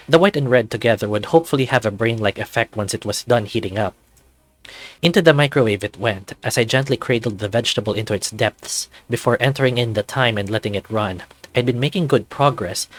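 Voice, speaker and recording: A man's voice: medium pitch, no room sound. A man reading scripted first-person story narration for a visual novel.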